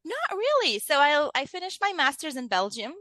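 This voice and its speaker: Lebanese arabic accented lady chatting as an interview guest, really bright higher voice, dry close sound. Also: very animated, brisk, dry recording. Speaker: interview guest, leadership coach. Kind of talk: casual conversational interview.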